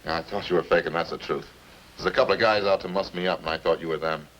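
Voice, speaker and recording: An actor with an American accent playing a defensive, slangy small-time murder suspect in a 1950s radio drama, colloquial and grumbling. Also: male voice, low voice, fairly even intonation, fast talker, a bit bright, highs cut off. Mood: defensive, excusing. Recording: old radio recording, faint noise.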